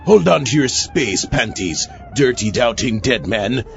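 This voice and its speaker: A blustering, conniving pirate space captain from a comic audio drama. He drawls slowly and hesitantly, his pitch swooping wildly. The sound is dull and lo-fi, with loud music behind him. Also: huge pitch swings, creaky, exaggerated voice. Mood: blustering, scheming.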